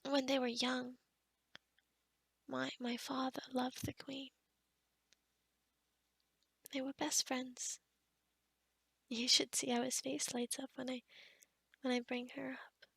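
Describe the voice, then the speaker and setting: A woman acts out a kind young witch of the forest in an ASMR roleplay. Her voice is high and breathy with some fry, recorded close and dry. She talks softly and haltingly, rambling in an awkward, gentle way. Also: little pitch movement, no room sound, exaggerated voice. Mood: wistful, hushed. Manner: hesitant, rambling, softly spoken with whispery stretches. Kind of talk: ASMR roleplay addressed to the listener.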